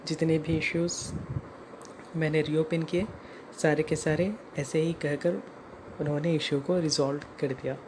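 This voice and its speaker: Indian vlogger over clear background noise. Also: mid-range voice, level tone, a little slow. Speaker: customer narrating own complaint as video creator. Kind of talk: explanatory vlog narration mixing in English phrases.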